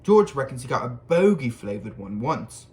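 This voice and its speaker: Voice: mid-range voice, big pitch swings, normal speed, a little mellow, natural voice. A reader voicing a casual, blunt young schoolboy in a storybook, close to the reader's own voice. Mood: offhand.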